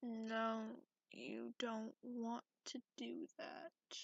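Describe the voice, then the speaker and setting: Woman playing a slow, creaky, sarcastic sleepy dragon. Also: a little high, completely flat delivery, no echo, full character voice. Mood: grudgingly indulgent. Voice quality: breathy, half-whispered, creaky. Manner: sarcastic, haughty, drawling with sighs. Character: cranky, sarcastic sleepy dragon guarding a hoard. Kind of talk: ASMR roleplay. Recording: muffled lo-fi close recording.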